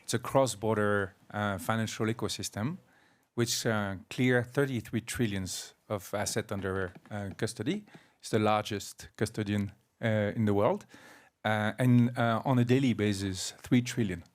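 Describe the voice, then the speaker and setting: A man's voice: fairly low pitch, fairly even intonation, normal speed. A man introducing himself on a panel as a finance executive, in hesitant, broken phrases.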